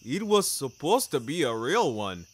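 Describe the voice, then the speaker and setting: A man's voice: medium pitch, big pitch swings, measured pace, soft background music, pitched above the performer's own voice. A man voicing a well-meaning, hapless and earnest male landlord in a fiction podcast. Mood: sheepish.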